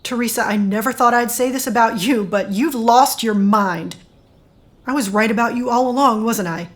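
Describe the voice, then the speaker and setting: A woman's voice: a little high, moderate pace, lightly acted. A woman in an audio drama playing a blunt, disillusioned friend with a bitter, accusing manner. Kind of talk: scripted audio drama acting.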